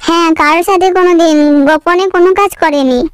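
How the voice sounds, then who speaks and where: A woman's voice: very high-pitched, steady tone, quick pace, no room sound, clean studio sound. A woman voicing a proud, boastful parent of a bride in a comic cartoon. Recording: loud music behind the voice.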